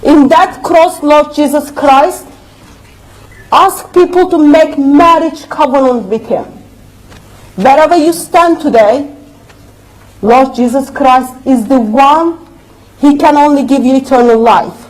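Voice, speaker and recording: A woman with a high, light voice delivers a debate rebuttal in English, which is not her first language. Her phrasing is earnest, emphatic and a little unidiomatic. She speaks to a room at a university debate. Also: takes it slowly, long pauses, darkish tone, poor audio.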